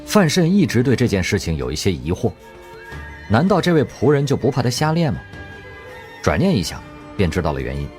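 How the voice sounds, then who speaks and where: A man narrating an audio drama story in an expressive, measured storyteller's style. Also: fairly low pitch. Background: background music.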